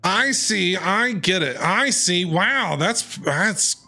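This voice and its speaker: A man streaming a story game, reacting in an excitable, animated way. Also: high-pitched, slow pace, faint music behind.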